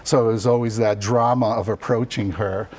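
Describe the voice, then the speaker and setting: A middle-aged man from Cuba who grew up near New York, telling personal stories casually and a little haltingly as an interview guest in a TV studio. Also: fairly low pitch, steady tone, a little mellow, breathy edge. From born in Cuba, raised in the New York area from childhood.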